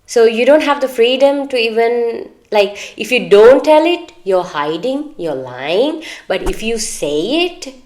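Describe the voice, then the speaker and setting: A woman's voice: fairly high pitch, big pitch swings, a little slow, few pauses, darkish tone. A woman co-hosting a podcast, chatting candidly and earnestly about personal matters, in a talkative, advice-giving way. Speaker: podcast co-host.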